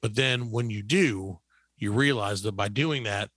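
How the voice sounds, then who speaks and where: A man's voice: fairly low pitch, a little slow, fairly bright tone, dry recording. A middle-aged man hosting a show with casual comedic banter, rambling and mock-boastful. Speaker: show host.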